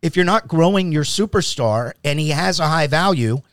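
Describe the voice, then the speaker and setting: A man's voice: fairly high pitch, expressive, normal speed, dry recording, studio quality. A man co-hosting a casual sports podcast, arguing basketball bluntly and emphatically with firm opinions.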